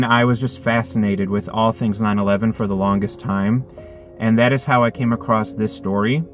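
A man in his thirties, a delivery driver, telling a story earnestly from a parked car, with lots of restarts. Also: on the low side. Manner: earnest, thoughtful, with many restarts. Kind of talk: casual reflective storytelling. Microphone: phone camera on dashboard.

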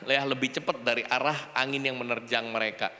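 A man interprets a sermon live on a big church stage, firing off each phrase fast with lots of "ya" and "eh" fillers. His voice is bright and forceful. A long hall reverb trails behind his words. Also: mid-range voice, level tone. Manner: fast, filler-heavy rendering with "ya" and "eh". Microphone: handheld mic.

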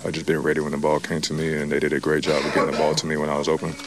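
A young man, a player giving casual postgame answers in a locker room, relaxed, with casual slang and many fillers. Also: very deep voice, rapid-fire, a crowd in the background.

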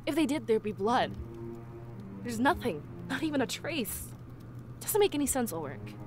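A woman's voice: a little high, sing-song, normal speed, over music. An actress playing an earnest, questioning young female fox-like villager in a video game, serious and insistent. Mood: troubled, insistent.

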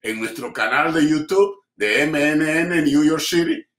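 A man's voice: fairly high pitch, a little slow, a bit bright. A man hosting a community TV interview from home over a video call, speaking emphatically with frequent fillers. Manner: emphatic, rhetorical, hesitant fillers. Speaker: TV host. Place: home room with bookshelves. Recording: roomy echoey home room.

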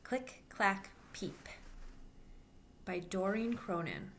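A woman in her thirties reading a children's picture book aloud, with simple, deliberate phrasing for young listeners. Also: medium pitch, animated, a bit bright, a little hiss or hum. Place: small plain-walled room indoors.

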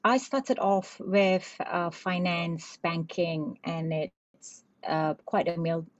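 A woman executive from Malaysia answering a panel question on a video call, with hesitant starts that settle into a steady telling. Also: lowish voice, slow. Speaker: CEO, panelist. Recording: dull, dry video-call audio.

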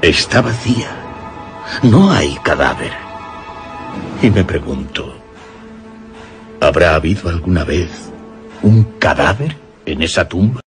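A man's voice: deep voice, expressive, takes it slowly, pauses often, music in the background, full character voice. An actor playing a grave, suspicious old village fisherman from Spain in a radio suspense drama, speaking with ominous emphasis and a Spanish accent from Spain. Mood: ominous, urgent. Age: older.

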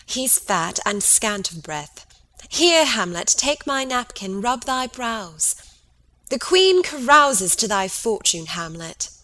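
A woman plays a gracious, motherly queen in a Shakespeare audiobook. Her voice sits high. She delivers the verse slowly and theatrically. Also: very animated, sharp tone, exaggerated voice, poor audio. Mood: fond, gracious. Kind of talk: dramatic Shakespeare audiobook reading.

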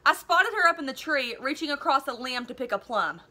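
A woman's voice: high-pitched, lively intonation, brisk, bright, forceful tone. A woman in her thirties reading a children's novel aloud expressively, like a teacher. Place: living room at home.

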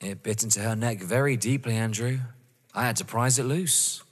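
A man's voice: medium pitch, moderate pace, lightly acted. An actor playing a vengeful young man running a sadistic game, mocking and toying with theatrical relish in an audio drama. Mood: menacing, mocking. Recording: long reverb.

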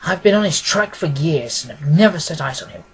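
A man's voice: a little high, lively intonation, a little fast, fairly bright tone, slightly rough, full character voice, low-quality recording. A man voicing a self-important, blustering police agent in a storybook, lofty and pompous. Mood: grudging admiration. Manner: pompous, consequential.

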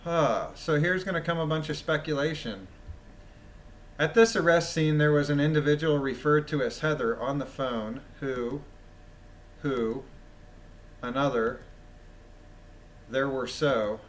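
Guy reading some document out loud and mocking it, kinda phlegmy, voice a bit on the high side. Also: measured pace, pauses often. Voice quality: phlegmy, often clearing throat. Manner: incredulous, mocking. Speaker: host reading a transcript aloud with commentary. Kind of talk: casual running commentary while reading a document aloud. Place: voice-over of a screen recording.

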